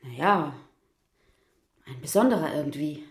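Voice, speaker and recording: A woman's voice: sits low, lively intonation, measured pace, roomy sound. A woman playing a blunt, easygoing sex worker in a radio play, talking in an offhand way.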